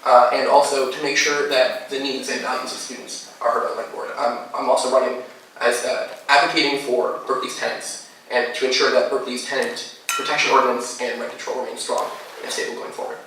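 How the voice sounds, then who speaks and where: A man's voice: medium pitch, flat delivery, a little fast, bright, forceful tone, a little hiss or hum. A young man in his twenties, a candidate, congested from a cold and talking with many fillers. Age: 20s. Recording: echoey hall, voice from far off.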